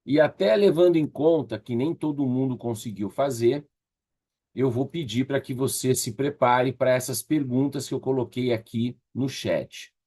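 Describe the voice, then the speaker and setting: A man with a Brazilian accent guides an online workshop as its instructor. His voice sits at a medium pitch with a husky grain. He explains tasks conversationally and encouragingly, often checking in with short tag questions. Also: normal speed, warm tone. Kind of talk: casual interactive online teaching.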